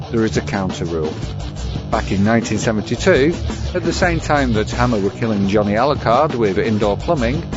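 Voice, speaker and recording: A man giving a scripted, wry and bookish commentary as a podcast segment presenter. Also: mid-range voice. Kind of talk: scripted commentary on vampire lore. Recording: dull lo-fi sound, music behind.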